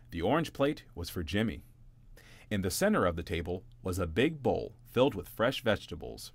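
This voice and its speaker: A man reading a bedtime story aloud in a gentle, expressive storytelling manner. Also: lowish voice, dry recording. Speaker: narrator.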